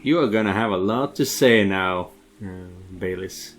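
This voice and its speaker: Low male voice, casual game streamer, hesitant muttered asides, reads text aloud, faint music behind. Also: normal speed. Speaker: game streamer dubbing a character. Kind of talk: casual let's play with read-aloud dialogue. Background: background music.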